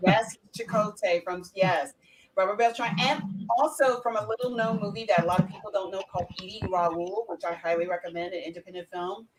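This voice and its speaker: A woman's voice: mid-range voice, steady tone, fairly quick, rough recording. A woman hosting a casual film discussion, talking with enthusiasm and emphasis.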